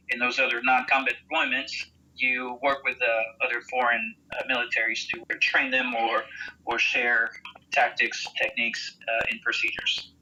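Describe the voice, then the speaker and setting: A middle-aged American man from Texas recounting his experience in an interview in a measured, matter-of-fact way. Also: medium pitch, sharp tone, slight background noise, rough recording. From Texas, United States. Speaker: interviewed military volunteer and veteran.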